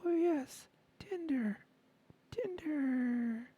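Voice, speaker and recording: A woman voicing a tall, polite young woman neighbor with a foreign-sounding lilt, in a breathy whisper. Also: high-pitched, lively intonation, extremely slow, dark tone, highs cut off, heavily acted. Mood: apologetic.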